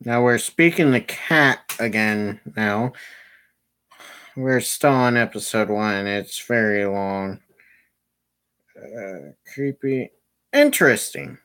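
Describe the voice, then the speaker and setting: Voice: mid-range voice, takes it slowly, pauses often, fairly bright tone. A game streamer giving casual, self-directed commentary while playing, muttering and often swearing. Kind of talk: casual livestream gameplay commentary.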